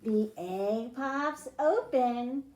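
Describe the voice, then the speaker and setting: Female storytime host, higher-pitched, teaching small children in simple terms; echoing room. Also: very slow, a little mellow. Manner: simple explanations addressed to children, with questions to them. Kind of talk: children's storytime read-aloud and science lesson. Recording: roomy, echoing indoor sound.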